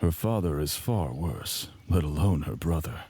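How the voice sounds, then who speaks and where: Composed dark-voiced knight played by a man. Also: a bass voice, lively intonation, a little slow, breathy edge, no echo, full character voice. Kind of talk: scripted fantasy audio drama acting.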